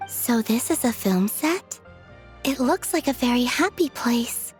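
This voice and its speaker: A soft, dreamy young woman. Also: a little high, expressive, a little slow, faint music behind. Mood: wistful. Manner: soft, gentle, wondering. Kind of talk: video game voice acting.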